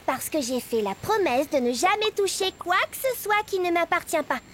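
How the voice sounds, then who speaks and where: A woman's voice: very high voice, lively intonation, normal speed, a bit bright, a little hiss or hum. An actress voicing a sweet, naive young female paramecium in a cartoon, with a gushing, childlike and earnest delivery. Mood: earnest, solemn. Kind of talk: French animated cartoon dub.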